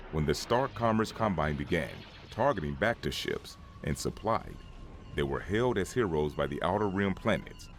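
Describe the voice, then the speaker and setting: A man's voice: deep voice, slight background noise. A man playing a grave Jedi elder, solemnly narrating war history in a dramatic comic dub. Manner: solemn storytelling.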